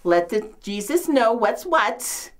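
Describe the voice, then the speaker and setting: A woman's voice: fairly high pitch, big pitch swings, takes it slowly. Theatrical old New York mother-in-law, acted by a woman. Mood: excited kvetching. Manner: theatrical, complaining, martyred, swooping delivery. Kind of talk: comedic dramatic monologue, performed.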